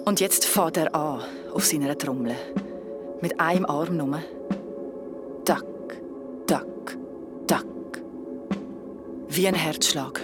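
A woman's voice: low voice, slow, long pauses, loud music behind the voice. A woman playing a frightened young woman with a Swiss German accent, telling a nightmare in an audio drama with colloquial urgency. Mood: shaken storytelling. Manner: breathless, colloquial, urgent storytelling. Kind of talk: audio drama narration and acting.

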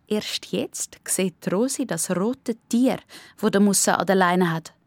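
A woman's voice: medium pitch, measured pace, no echo. A woman with a Swiss accent narrating a scripted children's story as part of a radio play.

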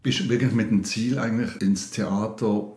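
A man's voice: lowish voice, steady tone, moderate pace, darkish tone. A man talking casually and reflectively as an interviewer in conversation. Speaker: interviewer and radio play maker.

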